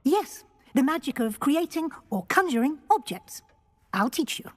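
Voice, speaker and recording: An encouraging witch professor in a fantasy game, with a highish, very melodic voice. She is precise and instructive, and her words carry a long room reverb. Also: very animated, measured pace, noisy background. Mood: instructive, approving. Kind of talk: video game voice acting. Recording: reverberant hall.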